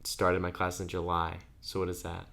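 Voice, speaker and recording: This is a very deep-voiced man of eighteen co-hosting a casual podcast. He talks in a loose, chatty way and often laughs while telling his stories. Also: monotone, normal speed, creaky, fairly bright tone. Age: under 20. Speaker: podcast co-host. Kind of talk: casual chat.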